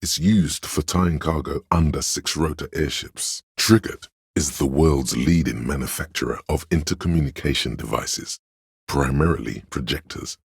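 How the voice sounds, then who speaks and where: Casting: pedantic inner narrator for a game, husky bass, erudite and pompous, measured delivery, close dry sound. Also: male voice, no room sound, full character voice. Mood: lecturing, matter-of-fact. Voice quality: very deep, gravelly, husky. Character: pedantic know-it-all inner voice, a walking encyclopedia of trivia.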